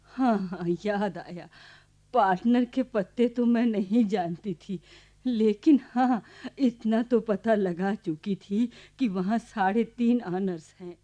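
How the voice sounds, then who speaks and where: Radio drama grandmother: actress playing humble elderly village woman, soft mellow tone, slow delivery, dull archive sound. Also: mid-range voice, lightly acted. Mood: recollecting. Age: older. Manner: unhurried, homely, self-effacing. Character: simple, modest seventy-year-old village grandmother. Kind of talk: radio play acting.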